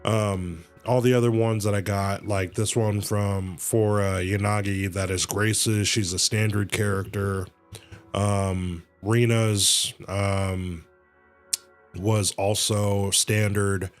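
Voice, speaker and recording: A man's voice: lowish voice, flat delivery, slow. A man giving casual, rambling livestream commentary on a game, often repeating himself. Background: faint game music.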